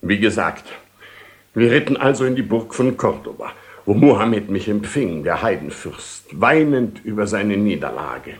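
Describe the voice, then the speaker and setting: A man's voice: lowish voice, expressive, measured pace. An actor playing a pompous, ceremonious knight commander and father in a radio play, long-winded and repetitive. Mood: grandiose, marvelling. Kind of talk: German-language radio play acting, 1970.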